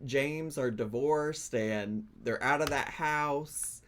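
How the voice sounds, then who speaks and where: A man's voice: medium pitch, slow, fairly bright tone. A man hosting a gossip commentary show, chatty and sassy, laughing between his remarks. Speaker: commentary channel host. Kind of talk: gossip commentary reacting to clips.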